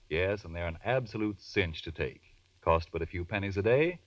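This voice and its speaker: A male announcer delivering an emphatic 1940s radio advertising pitch. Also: dry recording. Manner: emphatic salesmanship. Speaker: commercial announcer. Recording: muffled, distant vintage radio recording.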